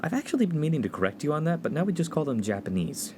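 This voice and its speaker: A man's voice: a little high, sing-song, rapid-fire, a little mellow, slight background noise. An actor playing an earnest, polite and proper young college man in a comedic 1950s radio play. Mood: polite correcting. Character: earnest, prim, square young male college freshman.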